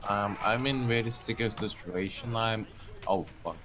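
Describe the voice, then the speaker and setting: A man's voice: on the low side, unhurried, fairly bright tone. A man with a Russian accent, a native Russian speaker, chatting hesitantly as a squad mate and swearing when things go wrong. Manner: hesitant, swears under stress. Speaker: squad teammate. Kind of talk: casual gameplay chat.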